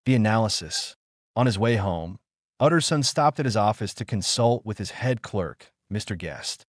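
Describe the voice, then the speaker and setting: A man narrating a dramatic audiobook in a measured, formal literary manner. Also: fairly low pitch, dry recording, clean studio sound.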